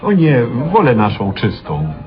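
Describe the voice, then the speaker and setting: A guarded man with secrets in a radio play. His terse phrases still swing widely in pitch. The muffled vintage recording has some music behind it. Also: wildly swooping pitch, a little slow. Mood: relaxing.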